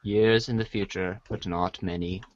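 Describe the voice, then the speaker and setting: A man voicing a droll storybook narrator in a halting, theatrical comic impression. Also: low-pitched, steady tone, dry recording, lo-fi sound, voice pushed down, natural voice.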